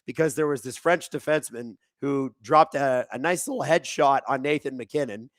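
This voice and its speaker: A man with a thin, raspy, hoarse voice hosts a live hockey watchalong, chatting casually, reading viewer comments and calling plays excitedly. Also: medium pitch, normal speed, no echo, clean studio sound. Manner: casual, reads viewer chat aloud; excitable play-by-play on big moments. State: hoarse, voice squeaking. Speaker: watchalong host. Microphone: streaming microphone.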